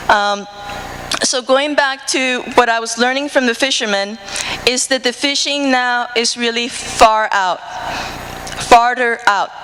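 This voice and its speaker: A woman's voice: on the high side, a bit bright. A woman from the Dominican Republic with a Dominican Spanish accent, telling a personal story at a conference in earnest English full of "uh" fillers and restarts. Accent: Dominican Spanish, speaking English. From Dominican Republic, Cibao region. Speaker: marine conservation researcher. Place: conference hall. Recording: hall reverb, faint room noise.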